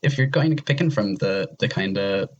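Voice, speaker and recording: A man chatting casually in a rambling, halting way, often repeating himself as he works out his point. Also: lowish voice, expressive, muffled recording, a little hiss or hum. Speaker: podcast guest. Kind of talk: casual chat.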